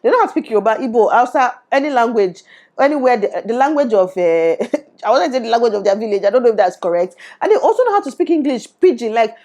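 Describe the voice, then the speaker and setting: Fast-talking Nigerian woman vlogger. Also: on the high side. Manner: animated, switches into Pidgin. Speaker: YouTuber. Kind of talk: opinionated to-camera vlog. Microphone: lapel.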